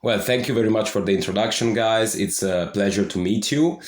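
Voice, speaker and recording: Male podcast host, lowish voice, polite scripted delivery, roomy echo. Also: a little fast. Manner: reads a formal welcome, then asks questions politely. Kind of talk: scripted podcast introduction and interview.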